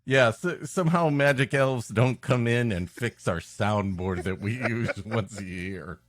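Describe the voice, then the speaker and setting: A man's voice: lowish voice, unhurried, no echo, studio quality. A man co-hosting a comedy podcast in casual, ironic banter. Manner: joking, ironic. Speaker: podcast co-host.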